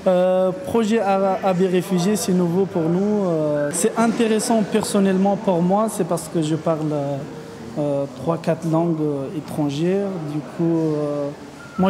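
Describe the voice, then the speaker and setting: A woman's voice: on the low side, steady tone, normal speed, noisy background. A woman in her thirties from Afghanistan, a coordination agent speaking sincerely and hesitantly in a testimonial interview. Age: 30s. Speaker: coordination agent, team leader.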